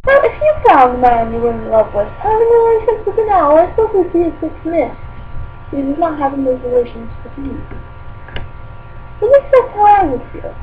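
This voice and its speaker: A woman's voice: high voice, very animated, moderate pace. A woman voicing a dreamy, oddball teenage witch girl who reads fan fiction aloud in character, with airy, politely curious, rambling asides. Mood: curious, detached. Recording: tinny phone-like sound with faint hum.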